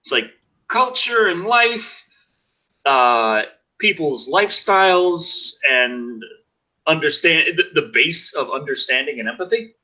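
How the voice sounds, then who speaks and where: A man's voice: fairly high pitch, takes it slowly, rough recording. A man in his thirties hosting a livestream, chatting casually, joking and reading out chat donations. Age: 30s. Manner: animated, joking, reads chat donations aloud. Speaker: host of a livestream show.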